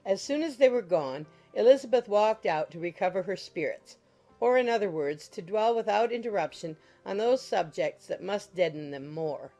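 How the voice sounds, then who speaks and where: A woman's voice: mid-range voice, animated, moderate pace, dark tone. A woman reading scripted literary narration as the narrator of an audiobook. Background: music.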